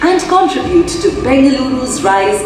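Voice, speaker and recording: A woman's voice: high-pitched, sing-song, moderate pace, very echoey. A woman giving scripted promotional narration in an upbeat manner. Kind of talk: scripted narration over music. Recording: narration over loud music.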